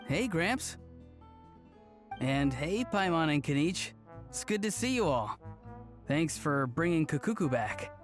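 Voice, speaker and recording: Playful, easygoing young man for a fantasy game; lightly high voice, friendly and casual. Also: big pitch swings, unhurried, long pauses, music in the background. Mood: cheerful. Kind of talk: video game character acting.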